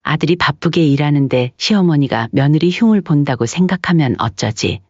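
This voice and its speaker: A woman playing a gentle, long-suffering older mother-in-law in an audio story, speaking softly and considerately. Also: deep voice, animated, normal speed, no room sound, highs cut off. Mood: anxious. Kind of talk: dramatized audio story dialogue.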